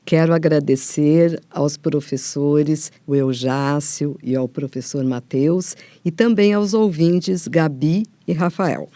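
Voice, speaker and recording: A Brazilian woman presents radio from a script. Her voice is mellow and dark, recorded very dry. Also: low voice, slow pace, few pauses, dry recording. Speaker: programme host.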